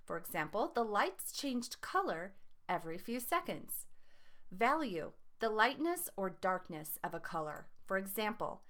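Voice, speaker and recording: A woman with a mid-range voice reads educational text aloud as a teacher would. Her delivery is scripted and deliberate, with definitions and examples carefully enunciated. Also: mid-range voice, very animated, a bit bright. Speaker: teacher reading lesson aloud.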